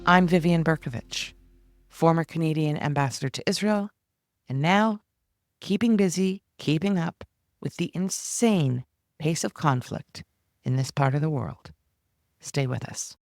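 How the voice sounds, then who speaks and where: Measured, lower-voiced woman hosting. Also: darkish tone. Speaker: podcast host and interviewer. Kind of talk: scripted introduction and formal interview questions.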